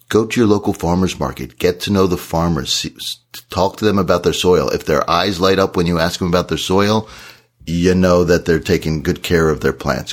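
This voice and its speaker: A man, hoarse from shouting, co-hosting a podcast in a casual, encouraging way. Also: deep voice. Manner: earnest, encouraging advice. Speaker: podcast co-host.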